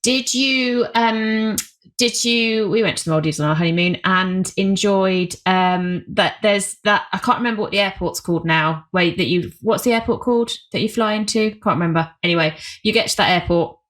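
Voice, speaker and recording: A woman's voice: mid-range voice, moderate pace, fairly bright tone. A woman hosting a podcast, asking questions in a chatty, wry and self-deprecating way. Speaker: podcast host. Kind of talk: casual interview chat.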